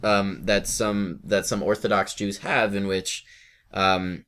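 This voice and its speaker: Low-voiced man hosting a casual interview. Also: little pitch movement, slow, a bit bright, smooth. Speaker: interviewer, host.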